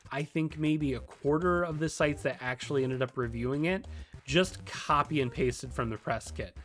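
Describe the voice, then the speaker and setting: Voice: mid-range voice, a bit bright. A video host presenting a scripted tutorial in a direct, conversational manner, with casual asides. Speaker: video host and game developer giving a tutorial. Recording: music behind the voice. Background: background music.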